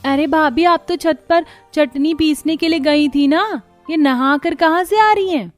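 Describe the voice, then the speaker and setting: A woman voicing a pert, demanding and critical young sister-in-law in a Hindi animated family story. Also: sits high, unhurried, soft background music. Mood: teasing.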